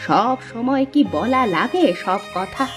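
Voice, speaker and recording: A woman's voice: on the high side, expressive, slow. Cartoon role: careworn village mother, middle-aged, scolding and plaintive played by a woman, music underneath. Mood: worried, reproachful. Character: poor hardworking village wife and mother, worried, nagging. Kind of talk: Bengali cartoon drama dubbing.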